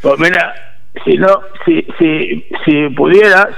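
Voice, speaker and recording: A man with a hoarse, rough voice, talking casually and haltingly as a guest on live radio. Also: on the high side, fairly bright tone, low-quality recording. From Bujalance, Andalusia, Spain. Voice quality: hoarse, gravelly. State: hoarse after little sleep. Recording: radio show with faint music.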